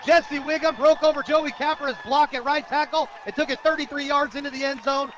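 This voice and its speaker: A man calling live high school football play-by-play for radio, describing each moment in detail. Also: steady tone, fairly quick, a faint crowd. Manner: descriptive, rising to shouts on big plays. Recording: dull, lo-fi radio broadcast.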